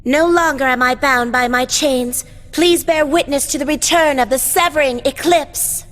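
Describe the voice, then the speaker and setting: A woman's voice: sits high, moderate pace, a bit bright. An actress playing a devoted, formal woman retainer and fighter in a video game, speaking courteously. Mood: solemn resolve. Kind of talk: English video game dub acting. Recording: echoey hall reverb.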